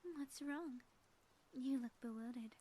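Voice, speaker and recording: A woman voicing a theatrical, gloating female supervillain in an audio roleplay, teasing and grandiose with dramatic pauses. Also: sits high, mellow, slightly rough, full character voice. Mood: smug, theatrical. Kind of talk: scripted audio roleplay, ASMR.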